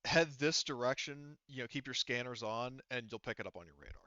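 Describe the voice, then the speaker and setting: A man voicing a plain-spoken, businesslike male station client in a tabletop game, halting and informal. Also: mid-range voice, bright, forceful tone, dry recording, muffled recording, natural voice.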